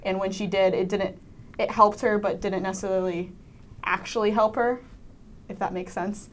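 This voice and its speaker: A woman's voice: mid-range voice, steady tone, moderate pace, slight background noise. A teenage young woman, a student actor, talks casually in a panel interview, hesitating and often dropping in "like". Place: indoor panel, seated group.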